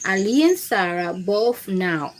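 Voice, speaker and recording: A woman's voice: medium pitch, animated, slow pace, a little hiss or hum, poor audio. A woman hosting a storytime, reading aloud to young children in simple phrasing and putting questions to her listeners. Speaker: children's storytime host and teacher.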